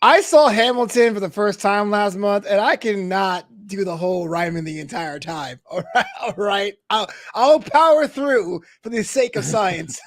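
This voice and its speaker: A man's voice: high voice, moderate pace, hardly any pauses, dry recording, studio quality. A man co-hosting in casual comic chat with an exasperated, wisecracking manner. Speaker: podcast co-host.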